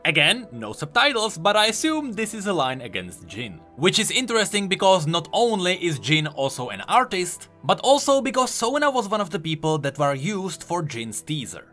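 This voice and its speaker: A man's voice: high-pitched, very animated, normal speed, few pauses, sharp tone, faint music behind. A man giving enthusiastic, emphatic explanatory commentary on video game lore.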